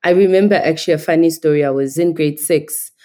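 A woman's voice: low voice, fast talker, warm tone. A woman from Nigeria, a podcast guest, talking in a thoughtful, articulate and earnest way. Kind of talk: reflective conversational interview.

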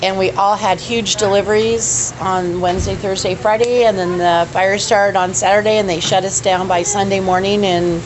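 A woman's voice: medium pitch, fairly even intonation, moderate pace, hardly any pauses. A woman speaking conversationally as a local interviewee. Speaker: cook and bartender interviewee. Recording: clear background noise on location.

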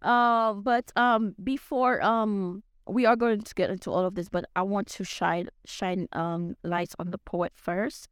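Young female podcast host, French accent, halting and full of fillers, dry close recording. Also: medium pitch, steady tone, smooth, no room sound. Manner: hesitant, many fillers and restarts. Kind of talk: casual podcast chat.

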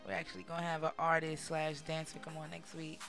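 Deep, gravelly woman co-host. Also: completely flat delivery, fast, a bit bright, faint music behind. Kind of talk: casual show banter.

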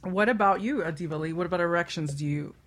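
Woman host, halting casual talk. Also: fairly low pitch. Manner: halting, searching for words. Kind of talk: casual explicit panel chat.

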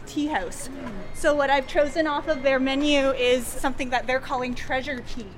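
High-voiced woman guiding a food tour. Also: steady tone, normal speed. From Canada. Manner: chatty, explanatory, with fillers. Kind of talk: casual guided tour talk. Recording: loud street noise behind.

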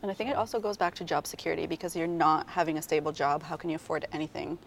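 A young woman in her twenties speaks quickly and earnestly in a group conversation. Her voice sits slightly low, and faint noise is heard. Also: steady tone, creaky. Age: 20s. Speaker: young voter. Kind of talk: casual group chat.

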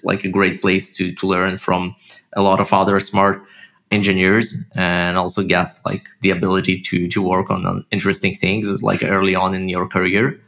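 A man from Israel with a slight Russian-tinged accent, a startup founder talking casually as a guest, halting and full of fillers. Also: deep voice, gravelly, fairly bright tone. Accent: Russian-tinged Israeli English. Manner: halting, many fillers and restarts. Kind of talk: casual interview talk.

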